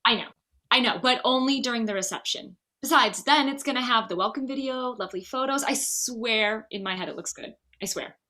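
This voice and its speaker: Bride-to-be, female, upbeat and reassuring, bright, slightly high voice, read by an actress at a table read. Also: brisk.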